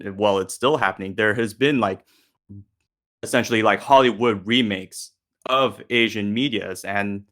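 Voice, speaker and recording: A man moderates a relaxed panel discussion. His voice is slightly low, and he talks in an informal, conversational way as the host. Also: measured pace.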